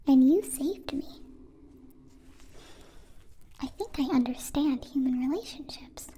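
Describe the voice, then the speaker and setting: Slow, whispery, high-voiced gentle alien played by a woman. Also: animated, a little hiss or hum. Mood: earnest, friendly. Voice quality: breathy, whispery. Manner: stilted formal phrasing, careful and deliberate. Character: gentle female alien, naive, devoted new friend. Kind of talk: ASMR roleplay, soft whispering.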